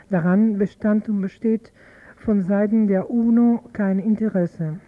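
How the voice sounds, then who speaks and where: A woman reading a political news commentary in a steady, earnest way. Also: medium pitch. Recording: thin, phone-like archive audio.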